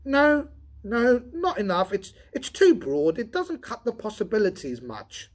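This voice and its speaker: A man reading an audiobook, voicing an excitable, weary, speculative robot technician with an emphatic, agitated delivery. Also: sits high, unhurried, pitched above the performer's own voice. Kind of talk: audiobook character dialogue.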